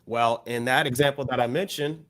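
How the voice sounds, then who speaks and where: Male podcast co-host, mid pitch, reflective, loose delivery full of fillers. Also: fairly even intonation, a little fast, fairly bright tone. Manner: thoughtful, many fillers and restarts. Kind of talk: casual discussion.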